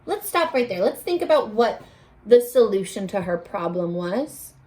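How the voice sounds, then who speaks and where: A woman teacher reading a picture book aloud to young children, expressively, pausing to put questions to them. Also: medium pitch. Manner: animated, addressing children with questions and pauses.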